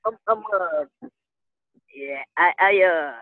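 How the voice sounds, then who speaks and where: Young woman, new orleans accent, relaxed and laughing, tinny phone call audio. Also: fairly low pitch, takes it slowly, dry recording. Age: 20s. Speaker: musician guest. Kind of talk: casual interview talk.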